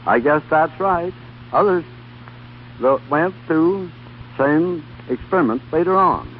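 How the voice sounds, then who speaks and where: A phone-thin old radio recording with faint noise. An older man with a slightly high voice gives scripted interview answers, plain and a little stiff. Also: expressive, takes it slowly, muffled recording. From Ohio, USA. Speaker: radio guest, former soldier. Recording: thin, noisy vintage radio broadcast.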